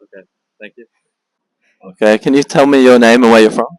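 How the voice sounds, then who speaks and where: A man working as a street interviewer, voice sitting mid-pitch and sounding dry and close. He asks short questions and repeats them, with the odd "uh" as he goes. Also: fairly even intonation, fairly quick, clear, resonant tone, no room sound. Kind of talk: street vox-pop interview.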